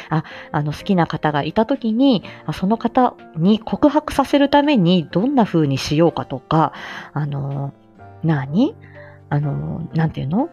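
A woman's voice: on the low side, darkish tone, highs cut off. A woman hosting a casual solo live stream, talking hesitantly and self-deprecatingly as she gropes for words. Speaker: host of a personal audio live stream. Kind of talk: casual solo chat to listeners.

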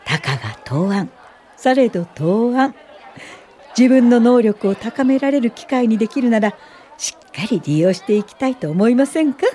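An actress playing a gentle older woman professor of social work in a radio drama, speaking softly, politely and without hurry in a warm, encouraging way. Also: a little high, lively intonation, some chatter behind, natural voice. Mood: kindly explaining. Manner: soft, polite, unhurried, old-fashioned feminine Japanese. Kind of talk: radio drama acting and reminiscing narration.

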